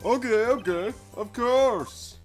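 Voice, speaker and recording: A man's voice: very high-pitched, takes it slowly, faint music behind. A man in an amateur game fandub playing a pompous, slippery witness with affected, overly formal diction who gets flustered when cornered.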